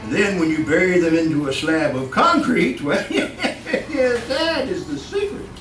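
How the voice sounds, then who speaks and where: An actor playing a boastful, cunning mad serial killer in old-time radio horror style, with a chuckling, halting, sinister delivery. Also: male voice, sits high, big pitch swings. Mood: gloating. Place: live theatre stage performance. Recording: echoey live stage recording, distant mic, music.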